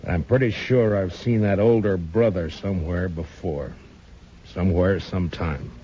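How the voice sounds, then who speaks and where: A man playing a stern, watchful marshal of the Old West in a 1950s radio western, speaking in a measured, blunt, authoritative way. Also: dark tone, husky. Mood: guarded. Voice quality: deep, firm. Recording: dull, hissy 1950s radio recording.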